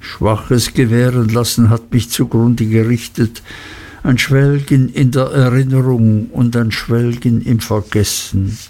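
A man's voice: mid-range voice, steady tone, measured pace, a little mellow, close to an everyday voice. A man voicing a despairing, guilt-ridden older male lawyer who talks in an anguished, self-reproaching way.

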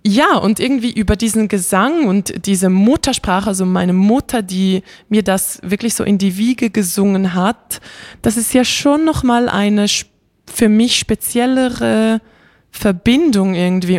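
A woman's voice: medium pitch, unhurried, darkish tone. Enthusiastic woman, chatting reflectively. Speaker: interview guest, classical singer. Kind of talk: casual reflective conversation.

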